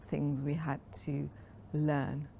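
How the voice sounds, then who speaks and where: Low, gravelly-voiced woman in her sixties. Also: completely flat delivery, warm tone, a little hiss or hum. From Kenya. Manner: reflective, unhurried storytelling with frequent ums. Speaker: interviewee. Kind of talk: oral history interview.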